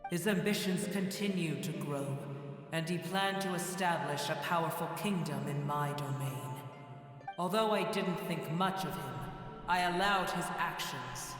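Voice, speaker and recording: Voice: mid-range voice, fairly bright tone, soft background music, close to an everyday voice. Brief: actor as an ancient wounded dragon, scornful and solemn, in a huge echoing cavern. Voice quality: slow, grave and solemn. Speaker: game character. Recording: heavy cavernous echo with long reverb.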